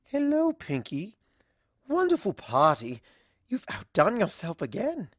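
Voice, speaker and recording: A woman's voice: mid-range voice, huge pitch swings, measured pace, dry recording. A woman voicing a refined, easily embarrassed ladylike cartoon pony with genteel, delicate manners. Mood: polite, discreet. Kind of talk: acted fan fiction dialogue.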